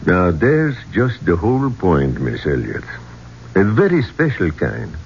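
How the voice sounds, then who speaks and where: A discreet, grave inspector in a 1970s radio drama. His voice is very deep and moves widely as he speaks in formal, measured phrases. The recording is dull, with some noise behind it. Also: wildly swooping pitch, heavily acted. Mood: ominous, measured. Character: grave, discreet male Egyptian police inspector.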